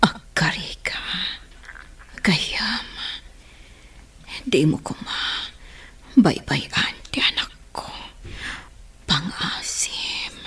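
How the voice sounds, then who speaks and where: An actress playing a weak, dying young mother in a radio drama, gasping in a breathy, whispery voice. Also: lowish voice, animated, very slow, pauses often, fairly bright tone, exaggerated voice. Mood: pleading. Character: weak, dying young mother entrusting her little son.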